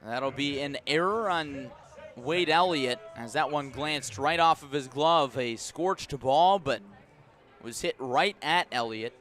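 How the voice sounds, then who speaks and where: An American man doing live baseball play-by-play, measured and descriptive between pitches and emphatic on the action, speaking from a ballpark press box. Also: fairly bright tone. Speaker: baseball play-by-play radio announcer. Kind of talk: live sports commentary.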